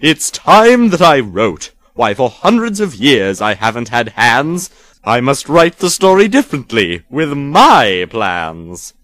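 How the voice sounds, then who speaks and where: A man's voice: mid-range voice, very animated, takes it slowly, fairly bright tone, dry recording. A man playing a scheming, bitter old storybook villain with a snarling, theatrical, gloating delivery. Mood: resolute scheming. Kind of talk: parody of rhymed storybook villain dialogue.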